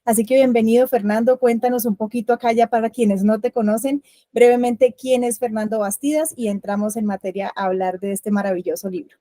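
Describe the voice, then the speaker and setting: Woman, interview host, mellow tone, slightly high voice, dry close sound. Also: steady tone, a little fast, dry recording. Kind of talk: welcoming interview hosting.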